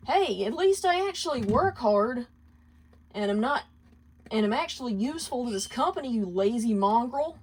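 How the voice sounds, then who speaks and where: Demon underling, played by a woman: male, defiant, theatrical, high-pitched, given to smug sung comebacks. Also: expressive, moderate pace, darkish tone, husky.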